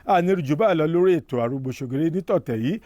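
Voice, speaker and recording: A man's voice: on the high side, lively intonation, rapid-fire, mellow, dry recording, clean studio sound. A man hosting a TV program, quoting proverbs and speaking directly to elders watching. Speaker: TV program host. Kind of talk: broadcast presenting.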